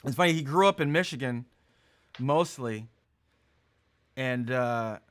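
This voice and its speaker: A man in casual podcast chat, telling stories with quick asides that trail off softly. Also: medium pitch, smooth. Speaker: podcast co-host.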